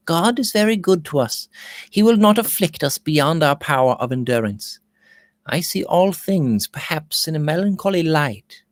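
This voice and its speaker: A man voicing a gentle, pious and melancholy governess in an audiobook, soothing and sorrowful. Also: fairly high pitch, no room sound, clean studio sound, close to an everyday voice. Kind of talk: audiobook character reading.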